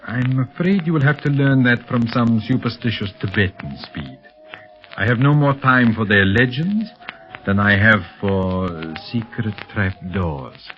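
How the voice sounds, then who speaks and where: An actor playing an aging, eccentric villain inventor, formal and evasive, in 1930s radio serial style. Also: male voice, mid-range voice, animated, soft background music, natural voice. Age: older. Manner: formal, courtly, hesitant evasive pauses. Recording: muffled old 1930s radio recording.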